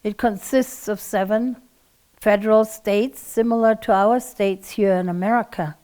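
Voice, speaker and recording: An elderly woman in her eighties, a native German speaker with a German accent, giving a prepared talk in a measured, formal manner. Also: medium pitch, steady tone, mellow. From Czechoslovakia and Germany. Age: 80s. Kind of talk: prepared talk, personal recollection and presentation.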